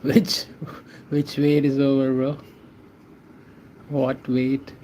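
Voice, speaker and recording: A man's voice: mid-range voice, monotone, very slow, dark tone, a little hiss or hum. A man from Pakistan, chatting casually with viewers while he draws on a livestream, answering their comments in a laid-back way. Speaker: art livestreamer.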